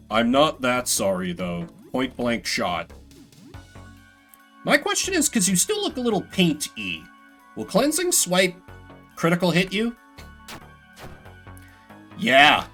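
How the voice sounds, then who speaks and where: A man's voice: medium pitch, fairly bright tone. A man streaming a video game with casual, wry, joking commentary, thinking aloud as he plays.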